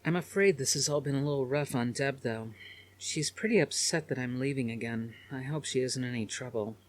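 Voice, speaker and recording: A loving, worried mother played by a male narrator, weary and gentle. The voice sits higher than a man's usual narration and carries an anxious care, in audiobook style. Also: brisk, lightly acted.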